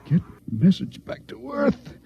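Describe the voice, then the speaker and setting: An actor playing a dying ship's doctor in a 1950s radio drama, weak and gasping out a warning in a strained, croaking voice. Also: male voice, sits high, huge pitch swings, slow pace, warm tone, full character voice. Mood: weak, urgent. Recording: muffled, noisy vintage radio broadcast.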